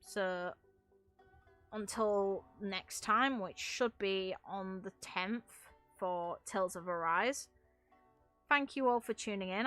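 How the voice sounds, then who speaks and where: A woman's voice: mid-range voice, a bit bright. A woman chatting casually on a livestream as a game streamer, giving her opinions in an emphatic, opinionated way. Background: music.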